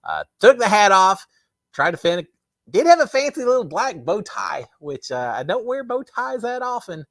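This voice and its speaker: A middle-aged man hosts a relaxed livestream, reading out viewer questions and chatting back. His voice is a bit raspy from overuse, and his pitch rises and falls a lot as he rambles through restarts and fillers. The sound is close and dry, with no room echo. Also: normal speed. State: slightly raspy, tired throat. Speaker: livestream host. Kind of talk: casual live chat answering viewer comments.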